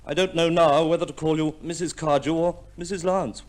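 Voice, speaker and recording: An actor playing a smitten, fussily gallant suitor in a 1950s radio play, with a flustered, gushing manner. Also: male voice, on the high side, steady tone, a little fast, darkish tone, close to an everyday voice. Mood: flustered, courtly.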